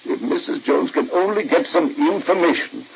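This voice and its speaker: A man's voice: a little high, expressive, moderate pace, creaky, poor audio. An actor playing an exasperated, earnest middle-aged father in an old radio soap opera. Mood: firm, troubled. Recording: hissy old-time radio recording.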